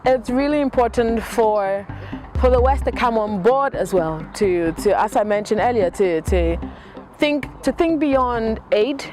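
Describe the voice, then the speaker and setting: Young Ghanaian woman, quick and fluent. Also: a little high, expressive, darkish tone, faint music behind. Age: young adult. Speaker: interviewee, young journalist. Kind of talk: earnest to-camera interview answer. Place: outdoors on a campus lawn.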